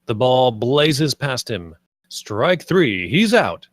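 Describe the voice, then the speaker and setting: A man's voice: mid-range voice, sing-song, takes it slowly, no echo. A man reading stage directions as scripted narration at a table read.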